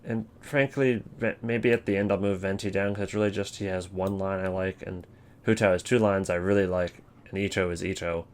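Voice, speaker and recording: A man with a slightly low voice hosts a casual ranking video. He rambles wryly, thinking out loud as he goes. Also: fairly even intonation, a little fast. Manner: rambling, thinking aloud, wry. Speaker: video host ranking game voice lines. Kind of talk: casual unscripted commentary.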